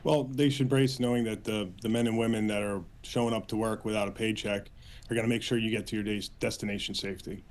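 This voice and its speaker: A man with an American accent answering as a union spokesman in a news interview, earnest, with halting phrasing and repeated words. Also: fairly low pitch, steady tone. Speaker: union official, interview guest.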